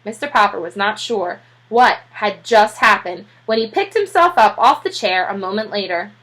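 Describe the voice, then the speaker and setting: Bright-voiced woman reading a children's story. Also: medium pitch, normal speed, low-quality recording. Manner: expressive read-aloud delivery. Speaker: teacher reading aloud. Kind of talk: scripted children's storybook narration.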